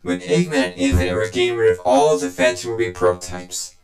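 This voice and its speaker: An actor voicing a scheming, vengeful game villain who tells his story in a deliberate, condescending, smug way. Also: medium pitch, huge pitch swings, creaky, echoey room, faint music behind. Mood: brooding, scornful. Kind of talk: dramatic video game cutscene monologue.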